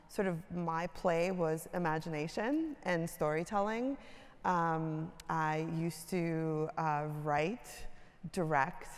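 A middle-aged woman from Iran telling her life story on a conference stage, with a slow, humorous manner full of ums. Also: fairly low pitch, clean tone. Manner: warm-humored, drawn-out, filler-heavy. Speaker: creative director, speaker. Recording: hall reverb.

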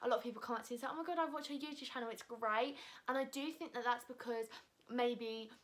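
Chatty British teen girl, bright voice. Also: on the high side, fast. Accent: British English. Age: teens. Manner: chatty, animated hand gestures, frequent "like" and "um". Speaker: YouTuber. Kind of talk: casual vlog answering viewer assumptions. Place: living room, seated on a sofa indoors. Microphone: camera in front at close range.

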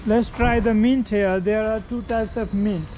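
A man's voice: sits high, steady tone, unhurried, mellow. A Nepali man with a Nepali accent, a tour guide hosting a travel vlog in an outdoor garden café and telling viewers what he is doing. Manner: upbeat, tells viewers what the group is doing. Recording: outdoor street noise behind.

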